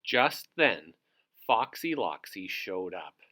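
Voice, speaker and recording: A storyteller narrating a children's book in an expressive read-aloud style. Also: sits low, takes it slowly. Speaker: storybook reader.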